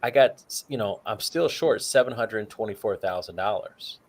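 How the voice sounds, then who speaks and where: A man's voice: lowish voice, moderate pace, breathy edge. A man co-hosting a talk show, casually walking through retirement numbers with plenty of "you know" fillers. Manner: works through figures aloud, many fillers. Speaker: co-host.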